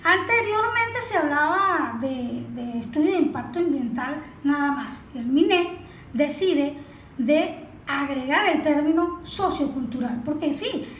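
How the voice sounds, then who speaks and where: High-voiced woman explaining in echoey room. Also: lively intonation, measured pace. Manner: didactic, poses rhetorical questions and answers them. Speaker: environmental expert being interviewed. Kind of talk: explanatory interview answers with slides. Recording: echoey room with faint background noise.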